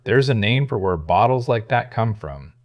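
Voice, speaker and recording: A woman voicing a guarded male soldier, now a household guard, speaking low, quiet and weary. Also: lively intonation, normal speed, no echo, highs cut off.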